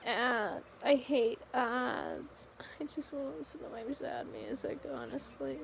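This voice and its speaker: A woman's voice: fairly high pitch, wildly swooping pitch, takes it slowly, gravelly, background noise. A tired, sleepy woman chatting casually to viewers on a livestream, rambling and trailing off. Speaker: live streamer.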